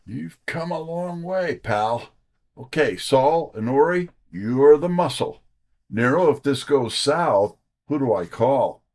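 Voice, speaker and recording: A man performs a wisecracking detective sidekick from an audio mystery drama. He speaks very slowly and deliberately, with a wry edge and a medium-pitched voice. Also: expressive, a little mellow. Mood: confident, commanding. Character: brisk, wisecracking male private detective, Nero Wolfe's sidekick. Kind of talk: full-cast audio drama with first-person narration.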